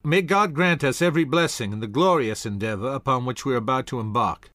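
A man's voice: medium pitch, fairly quick, a bit bright, no echo, studio quality, voice pushed up, lightly acted. A man voicing a commanding male general who speaks in formal, rousing tones.

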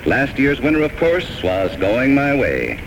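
A man's voice: medium pitch, huge pitch swings, unhurried. A man hosting in a formal 1940s radio presenting style. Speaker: radio show host. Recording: very noisy 1940s radio broadcast.

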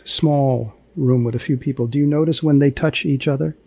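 A man hosting a podcast in a deadpan, understated, conversational way. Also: medium pitch, moderate pace, vocal fry, husky, no room sound, clean studio sound. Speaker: podcast host. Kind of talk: conversational interviewing and podcast narration.

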